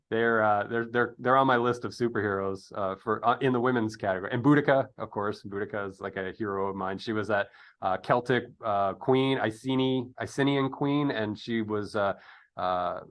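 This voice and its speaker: A man hosting an interview in casual conversation, digressive and halting, often correcting himself with a jocular streak. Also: lowish voice, a bit bright. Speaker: podcast interview host. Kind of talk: casual interview chat with rambling history asides.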